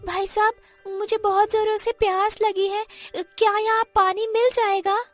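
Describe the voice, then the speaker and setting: A woman's voice: very high-pitched, flat delivery, a little slow, soft background music, pitched above the performer's own voice. A woman voicing a frightened young woman in a cartoon story, stammering and pleading. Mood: polite, thirsty. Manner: stammering, panicked. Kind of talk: cartoon moral story dialogue.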